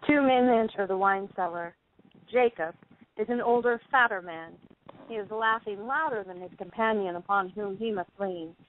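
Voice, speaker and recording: A woman's voice: mid-range voice, normal speed. A woman reading stage directions as scripted narration for a play. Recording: tinny narrowband phone-like line.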